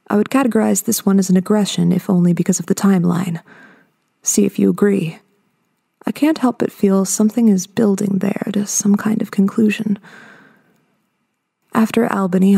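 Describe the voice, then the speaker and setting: An actress playing a weary, uneasy investigator of hauntings in her fifties, reading a report in a measured, subdued way for an audio drama. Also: medium pitch, gravelly, mellow. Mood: uneasy, hushed, then weary, apologetic. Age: 50s.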